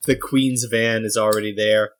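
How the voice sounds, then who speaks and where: A man co-hosting a comedy podcast, talking in a hesitant, halting way. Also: on the low side, sing-song, slight background noise. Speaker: podcast co-host. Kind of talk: improvised comedy chat.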